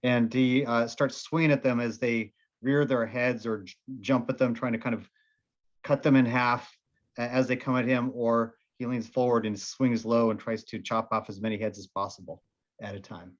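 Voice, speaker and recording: Player: nasal man, casual and halting, slightly dull lo-fi sound. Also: mid-range voice, fairly even intonation. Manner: hesitant, lots of "uh" while counting damage. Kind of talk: casual tabletop game talk.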